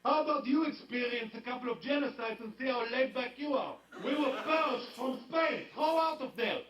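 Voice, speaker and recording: A man's voice: very high-pitched, normal speed, fairly bright tone, roomy sound, distant mic, faint music behind, poor audio. A man in a comedy clip ranting sarcastically and indignantly.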